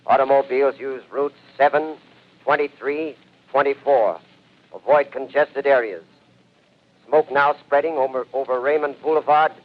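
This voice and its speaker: A man's voice: medium pitch, steady tone, moderate pace, lightly acted. A man playing an urgent emergency radio announcer. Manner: urgent, clipped warnings. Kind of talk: 1930s radio drama acting. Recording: dull old radio broadcast.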